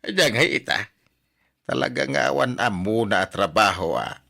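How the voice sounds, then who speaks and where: Man acting a gravelly, stern old grandfather. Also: lowish voice, animated, a little slow, pushed and bright, heavily acted. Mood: concerned grumbling. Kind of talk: Ilocano radio drama acting.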